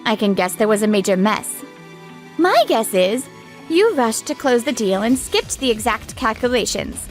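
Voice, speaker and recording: A woman's voice: a little high, expressive, moderate pace, soft background music, close to an everyday voice. Woman playing blunt, irritated warehouse manager. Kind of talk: manga dub acting.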